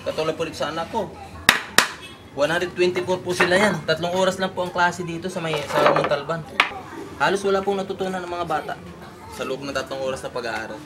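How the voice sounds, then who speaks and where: Middle-aged Filipino man, fairly high voice, earnest and polite resident testimony, loud noise all around. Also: brisk. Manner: polite, respectful, plainly spoken. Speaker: relocation site resident being interviewed. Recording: very noisy location recording. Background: loud background noise.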